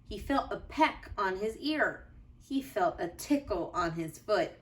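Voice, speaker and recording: A woman teacher reading a children's storybook aloud with deliberate, expressive phrasing for young listeners. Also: medium pitch, distant mic.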